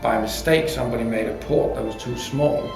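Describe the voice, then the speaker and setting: Male interviewee, designer type: gravelly, thoughtful, halting delivery, recorded in an echoey room. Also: medium pitch. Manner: hesitant, reflective, often rephrasing mid-sentence. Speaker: audio company designer being interviewed. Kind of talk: unscripted explanation in an interview. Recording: roomy echo with music behind.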